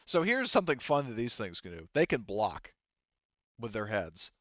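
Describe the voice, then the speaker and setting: A man's voice: mid-range voice, wildly swooping pitch, moderate pace, fairly bright tone, no room sound, lo-fi sound. A man acting as game master, narrating a tabletop game casually and with frequent swearing. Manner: casual, profane.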